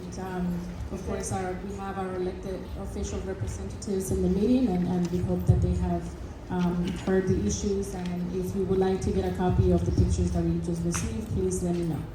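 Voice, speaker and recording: A woman's voice: lowish voice, level tone, brisk, hardly any pauses. A woman chairing a community board meeting, introducing speakers in a matter-of-fact way. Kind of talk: running a public meeting. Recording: echoey hall, noisy room.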